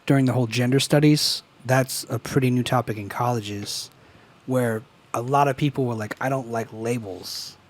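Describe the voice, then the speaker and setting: A man's voice: mid-range voice, moderate pace. A young man co-hosting a podcast in casual chat, profane and joking, his voice cracking as he argues. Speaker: podcast co-host. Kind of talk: casual chat over beers.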